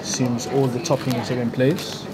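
A young man, casually reviewing a burrito to camera on a handheld mic, relaxed and chatty as he eats. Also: medium pitch, measured pace, over a noisy crowd, rough recording. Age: young adult. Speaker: food reviewer. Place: street outside a restaurant, then small canteen indoors.

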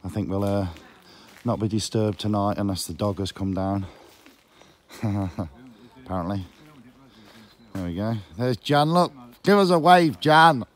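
A middle-aged man from England with a croaky voice, joking casually as he films his vlog outdoors. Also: fairly low pitch, measured pace, pauses often. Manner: jokey, teasing banter. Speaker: vlogger. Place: outdoors by a lake and countryside path. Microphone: phone camera held close.

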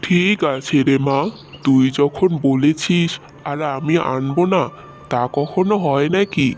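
A man playing a caring, middle-aged village fisherman father in a fairy-tale cartoon, speaking in a gentle, fatherly way. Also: fairly high pitch, slow pace, faint music behind. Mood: affectionate, busy.

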